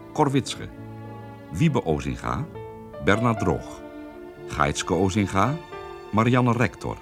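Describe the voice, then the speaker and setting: A man reading radio drama credits as a formal announcer. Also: deep voice.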